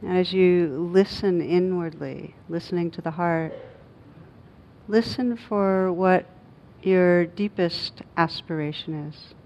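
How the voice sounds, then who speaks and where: A woman guiding a meditation as a teacher, speaking gently and unhurriedly in short phrases with long pauses. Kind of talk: guided meditation. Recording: dull muffled recording with faint rumbling noise.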